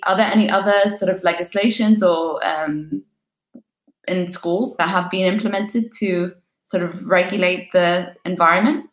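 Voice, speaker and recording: A woman from Turkey leads the interview, asking encouraging follow-up questions. Her voice sits on the lower side, and it echoes around a roomy space. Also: fairly even intonation, normal speed. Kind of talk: podcast interview. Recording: roomy echo.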